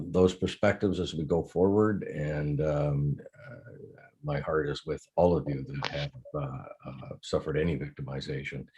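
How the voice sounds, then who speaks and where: An older Canadian man, an advisor and editor, speaking in a measured way on a panel. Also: extremely low pitch, a little mellow. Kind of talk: panel introduction.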